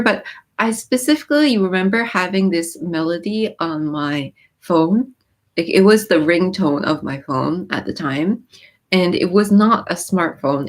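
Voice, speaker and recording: A woman hosting a casual livestream, raised in the US with Japanese as her mother tongue, reading viewer comments and explaining lyrics with frequent ums and restarts. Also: on the low side. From born in Japan, raised in the US. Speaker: livestream host.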